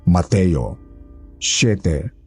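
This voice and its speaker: A man's voice: sits low, lively intonation, drawn-out words, husky, faint music behind, exaggerated voice. A man playing a humble former houseboy, narrating a horror story in the first person with an earnest, polite manner. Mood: grateful, solemn.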